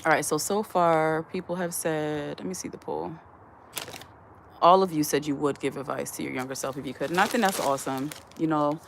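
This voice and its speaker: Low-voiced woman chatting on a livestream. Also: a little fast, creaky, slight background noise. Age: adult. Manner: reads viewer comments aloud and answers them; earnest, advising tone. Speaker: livestream host. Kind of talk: casual live-stream chat.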